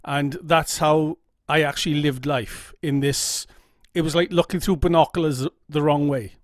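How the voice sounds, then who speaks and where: A man's voice: fairly high pitch, steady tone, moderate pace, no echo, studio quality. A middle-aged man with a Welsh accent telling his own story to camera, earnest and candid, often checking in with "okay?". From Wales. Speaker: vlogger. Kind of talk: personal story told to camera. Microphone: camera mic.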